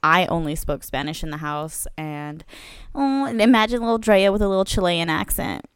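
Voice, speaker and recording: Smooth, low-pitched young American woman. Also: expressive, normal speed, no echo. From United States. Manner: informal, slangy storytelling. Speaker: podcast co-host. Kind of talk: casual podcast chat.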